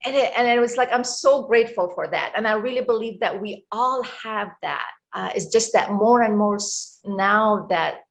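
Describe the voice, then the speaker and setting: A middle-aged woman from Egypt with an Egyptian accent, speaking as an interview guest in a reflective, halting way with many fillers. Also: mid-range voice, creaky. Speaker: guest, wellness coach. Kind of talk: casual interview talk.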